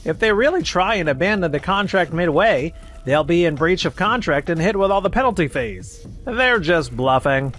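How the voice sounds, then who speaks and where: A man playing a smug, animated corporate manager. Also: high voice, moderate pace, few pauses. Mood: blustering, defensive. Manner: smug, blustering, dismissive. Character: arrogant, careless male contractor manager, self-serving and dismissive. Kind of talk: scripted manga dub voice acting.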